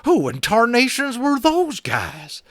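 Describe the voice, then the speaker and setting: A man voicing a jittery, hard-drinking tiny cowboy with a high, strained, twangy voice and frontier slang. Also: wildly swooping pitch, slow pace, a bit bright, no room sound, full character voice. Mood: frightened. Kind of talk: audiobook character voice.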